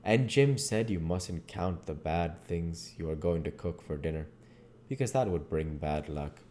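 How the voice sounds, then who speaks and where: A man's voice: low voice, expressive, a little slow, warm tone. A man reading as a storybook narrator and host, folksy, with occasional stumbles. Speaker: reader and channel host. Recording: audible background noise.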